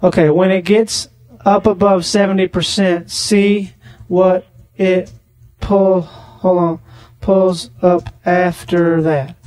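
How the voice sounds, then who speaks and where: A man making a deadpan prank phone call, rambling naively and slow to catch on. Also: high-pitched, no room sound. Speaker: prank caller on a comedy podcast.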